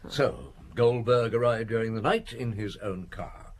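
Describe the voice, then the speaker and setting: Husky, commanding police chief inspector. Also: male voice, lowish voice, a little slow. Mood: brisk interrogation. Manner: probing, authoritative, wry. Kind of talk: radio murder mystery acting.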